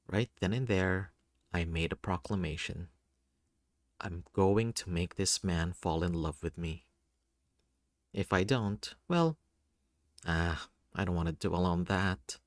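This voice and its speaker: A man reading a first-person story aloud in an expressive, playful, theatrical way. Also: sits low, dry recording, clean studio sound. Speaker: reader of a visual novel.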